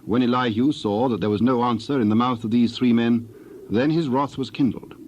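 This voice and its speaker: A man's voice: medium pitch, brisk, darkish tone, slight background noise. Formal male narrator of dramatized scripture.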